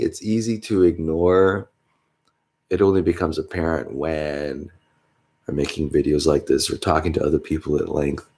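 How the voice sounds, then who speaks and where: A man's voice: low voice, creaky, darkish tone. A man vlogging in a rambling, casual way, congested with a stuffy nose, with many fillers and small chuckles.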